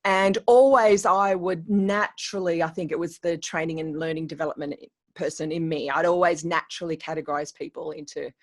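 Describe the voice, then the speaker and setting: A middle-aged woman from Australia talks as a podcast guest with a fairly low voice. She tells her story in a lively, chatty way, with fillers and restarts as her thoughts run ahead. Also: fairly quick. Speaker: guest, coach and educator. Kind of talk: podcast interview.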